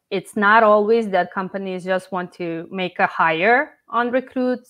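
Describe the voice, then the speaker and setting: A woman's voice: lowish voice, fairly bright tone. A woman whose first language is Serbian, a startup founder speaking English and frankly reviewing her own sales demo. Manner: self-critical, with fillers and restarts.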